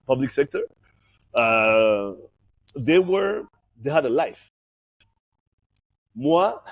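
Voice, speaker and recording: Un homme d'une quarantaine d'années, né en Belgique et élevé au Congo, invité d'une interview, qui mêle le français et l'anglais en parlant. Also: male voice, mid-range voice, darkish tone, no echo. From born in Belgium, raised in Congo. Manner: switches between French and English mid-sentence. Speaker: guest, master of ceremonies. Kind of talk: casual interview chat.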